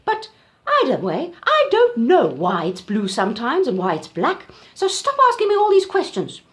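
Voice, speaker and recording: A woman's voice: high voice, wildly swooping pitch, moderate pace, fairly bright tone, voice pushed up, heavily acted. A woman voicing an impatient, bossy auntie giraffe who scolds and shoos in a storybook puppet show. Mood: impatient, scolding. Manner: scolding, shooing, exasperated.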